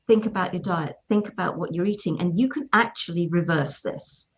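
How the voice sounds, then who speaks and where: A woman's voice: lowish voice, fairly quick. A woman hosting a casual conversation, chatty and emphatic, often repeating herself to make her point. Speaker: host. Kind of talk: casual chat.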